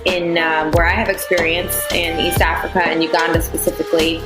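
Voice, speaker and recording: Woman, young adult, low voice, measured explainer, echoey room, faint music. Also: little pitch movement. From United States. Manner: measured, explanatory, many "you know" fillers. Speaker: founder, podcast guest. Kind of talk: conversational interview answers.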